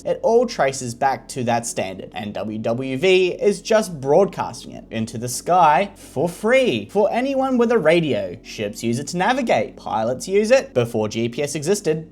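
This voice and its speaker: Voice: medium pitch, darkish tone. A video presenter delivering scripted explainer narration.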